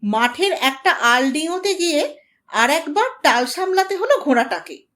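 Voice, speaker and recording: A woman narrating a scripted story in an expressive, dramatic and deliberate way. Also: high-pitched. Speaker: audiobook narrator.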